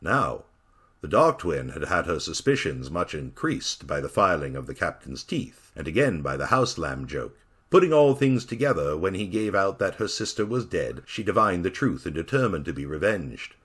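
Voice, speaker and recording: A man reads a grim old tale aloud in a theatrical storytelling style. His voice is very low and husky, and it rises and falls with lively drama. He savours the gruesome turns with dark relish. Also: normal speed. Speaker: narrator.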